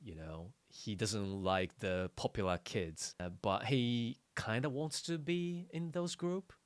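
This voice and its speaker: A man in a casual podcast chat, speaking haltingly as he searches for words. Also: fairly low pitch, no room sound.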